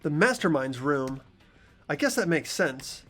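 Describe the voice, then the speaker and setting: A man plays an earnest teenage protagonist from a video game. His voice is fairly high and very animated, full of anxious questioning, with faint music behind. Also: unhurried, exaggerated voice. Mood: puzzled. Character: earnest, anxious teenage boy narrating a mystery. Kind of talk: amateur voice acting of a video game.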